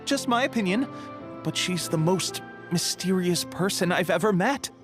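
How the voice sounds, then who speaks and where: Male shop clerk for an anime game: high, animated, helpful yet cautious, hesitant phrasing, music underneath. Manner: hesitant, conversational, deferential, many hums and pauses. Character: chatty, polite male bookshop clerk, helpful but cautious. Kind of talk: English anime game voice acting.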